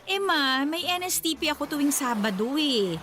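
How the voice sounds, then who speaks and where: A woman's voice: high-pitched, lively intonation, moderate pace, a bit bright, soft background music. A woman voicing a meek, dutiful college-age daughter who speaks politely and hesitantly, in an audio drama. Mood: timid.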